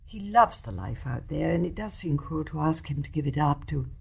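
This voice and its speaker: Radio drama role: older English mother, genteel, measured phrasing, very varied intonation. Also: low-pitched, animated, gravelly, darkish tone, slightly rough, exaggerated voice. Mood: tender, sorrowful. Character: genteel older mother, kindly and quietly observant. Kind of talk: radio play acting.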